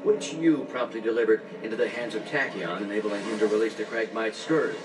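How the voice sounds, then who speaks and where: A man's voice: fairly low pitch, fairly quick, lightly acted. An actor playing a skeptical, probing male interviewer in a sci-fi video game, with a dry manner. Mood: accusing. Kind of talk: video game cutscene acting. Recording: slightly distant, music behind.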